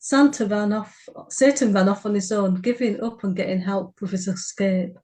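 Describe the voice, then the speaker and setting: A woman's voice: mid-range voice, normal speed, a little mellow. A woman co-hosting a writers' podcast, reading short fiction aloud. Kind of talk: story reading.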